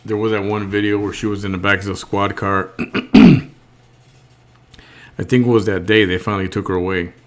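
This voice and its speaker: A man with a somewhat low voice hosts a relaxed live-stream show. He talks in a halting, unscripted way, restarting and repeating words as he works out what he thinks. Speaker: host. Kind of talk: casual live-stream chat.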